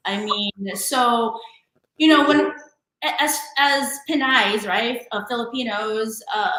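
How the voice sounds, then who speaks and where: A woman's voice: medium pitch, sharp tone, roomy sound, poor audio. A woman, a guest chatting casually in an interview with many fillers and restarts. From San Francisco Bay Area, California. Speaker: guest entrepreneur and DJ.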